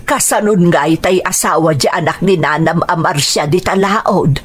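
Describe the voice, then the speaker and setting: A woman's voice: fairly low pitch, normal speed, breathy edge. A woman playing a worried mother in a radio drama, with a Filipino accent and plaintive, sighing delivery. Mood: distressed.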